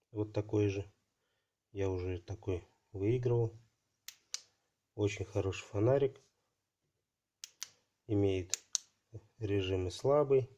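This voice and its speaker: A man hosting a casual unboxing video, talking haltingly and thinking aloud while his voice is affected by a cold. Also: fairly low pitch, dark tone, a little hiss or hum. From Russia. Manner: halting, thinking aloud, many fillers like "so" and "there". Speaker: channel host unboxing parcels.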